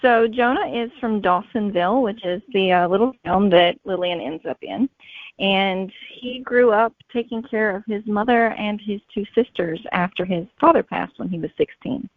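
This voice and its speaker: A woman's voice: mid-range voice, moderate pace, dry recording. A woman speaking casually and conversationally as a guest author answering interview questions. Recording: thin phone-like sound.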